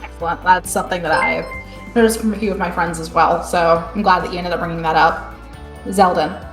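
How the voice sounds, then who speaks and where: A woman hosting a live online panel discussion, chatty and relaxed. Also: fairly low pitch, a little fast, reverberant, music in the background. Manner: relaxed, chatty, many fillers like "end up". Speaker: panel host.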